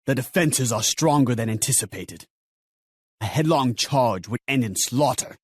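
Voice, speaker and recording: A man's voice: mid-range voice, expressive, moderate pace, breathy edge, no echo. A man playing a loyal, proud young samurai general in a game cutscene, speaking formally and solemnly with command. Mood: grave, urgent. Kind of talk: dramatic game cutscene acting.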